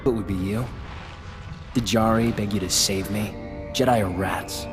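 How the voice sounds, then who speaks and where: Actor as a sneering, low-voiced Sith villain. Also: male voice, unhurried, over music, lightly acted. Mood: contemptuous. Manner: contemptuous, gloating. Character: sneering, vengeful male Sith sleeper-agent villain. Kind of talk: video game cutscene acting.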